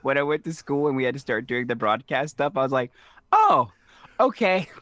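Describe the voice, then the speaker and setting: A man's voice: mid-range voice, big pitch swings, moderate pace, a bit bright, no room sound, studio quality. A man from the American South co-hosting a podcast in casual, chatty film talk with a wry manner. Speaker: podcast co-host. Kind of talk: casual film recap chat.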